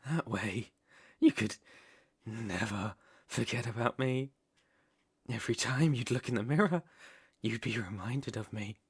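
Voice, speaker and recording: A man's voice: medium pitch, husky, no echo, clean studio sound. A man playing an obsessive, sinister young villain king in an ASMR roleplay, speaking theatrically and sometimes dropping to a whisper. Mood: threatening. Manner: sinister, theatrical, slow drawn-out phrasing with whispers.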